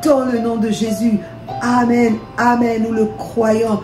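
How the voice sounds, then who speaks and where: A woman's voice: on the high side, flat delivery, slow pace. A woman from DR Congo, a minister, leading prayer with fervent, repetitive declarations. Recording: echoey room with soft music.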